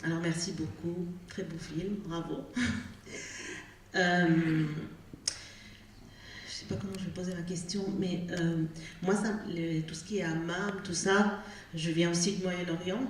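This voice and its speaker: A woman's voice: fairly low pitch, steady tone, measured pace, pauses often, a little hiss or hum. A woman in the audience asking a question, who hesitates and searches for her words. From Syria. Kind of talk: question from the floor. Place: cinema auditorium. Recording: echoey hall, distant microphone.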